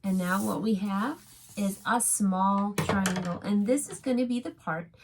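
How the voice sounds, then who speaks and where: A woman's voice: mid-range voice, measured pace, noisy background. A woman teaching a craft step by step to young children, speaking as a preschool teacher in simple, encouraging phrases.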